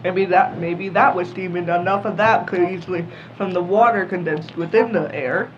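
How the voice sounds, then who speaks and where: A woman's voice: on the low side, normal speed, faint music behind. A teenage female podcast panelist reasoning aloud tentatively in a casual discussion. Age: teens. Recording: dull lo-fi audio, background noise.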